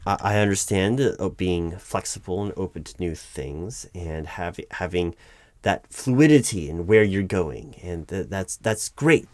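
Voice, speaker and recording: A man playing a patient but baffled corporate recruiting manager in a one-sided interview roleplay, speaking in a halting, stammering, diplomatic way. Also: sits low, expressive, no echo, voice pushed down. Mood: diplomatic, puzzled.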